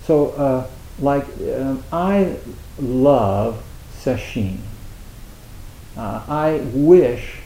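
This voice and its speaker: A man with a gravelly, rough-edged voice gives a halting dharma talk as a Zen teacher, circling back over his words with wry humor. The room rings with echo, and a faint hiss sits under him. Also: medium pitch, mellow. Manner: halting, many restarts, wry self-mocking humor. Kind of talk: dharma talk at a meditation retreat.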